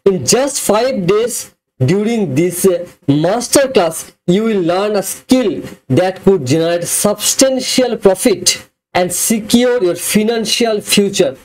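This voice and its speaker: A reviewer reading a scripted product review narration in an even, read-from-script way. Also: mid-range voice, slow, poor audio.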